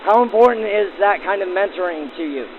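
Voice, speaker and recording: A man's voice: high voice, animated, fairly quick, phone-quality sound, a little hiss or hum. A man working as host and interviewer, presenting in the manner of a 1940s radio reporter. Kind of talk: scripted narration and field interviews backdated to 1940s radio. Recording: band-limited 1940s radio-style sound.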